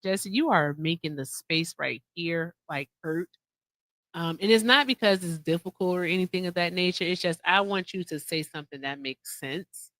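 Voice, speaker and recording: A woman's voice: fairly low pitch, moderate pace, fairly bright tone, no room sound. A young woman hosting a casual livestream, teasing and blunt as she presses her guest for answers. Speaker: livestream host. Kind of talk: casual live-stream chat.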